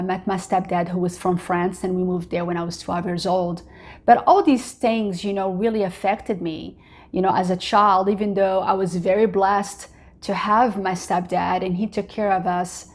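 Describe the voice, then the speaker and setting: A woman's voice: fairly low pitch, a little mellow. A middle-aged woman from Morocco, English not her first language, hosting her own vlog and talking earnestly about her life, with many "you know"s and "uh"s. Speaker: vlogger host. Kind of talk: personal motivational talk to camera.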